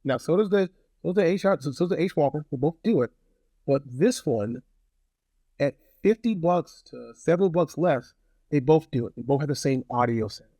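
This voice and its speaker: A man's voice: a little high, lively intonation, normal speed, mellow, no echo. A man giving a casual, conversational hands-on product review, often repeating phrases for emphasis.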